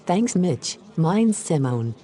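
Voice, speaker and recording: A woman's voice: on the low side, very animated, slow, a crowd in the background. A woman playing a polite, helpful domestic AI in an audio drama, friendly and matter-of-fact. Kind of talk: scripted audio drama dialogue.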